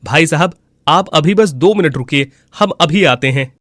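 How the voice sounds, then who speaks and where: A man playing a simple, kind-hearted villager in a cartoon moral story, with an earnest, expressive delivery. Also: fairly high pitch, measured pace, dry recording, clean studio sound. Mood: earnest, friendly. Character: honest, simple, kind-hearted village husband and his neighbours.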